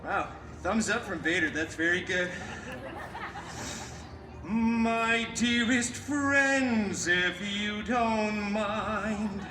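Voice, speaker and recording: A high-voiced, vain and wisecracking masked antihero, acted out by a man hosts a live comic story time. The delivery is hammy, moving between grand storybook drama and sarcastic asides. It rings with echo, and loud music plays behind. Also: extremely slow. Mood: playful banter. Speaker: theme park character performer telling a story to children. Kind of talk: theatrical live comic storytelling with crowd banter. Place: live theme park stage show.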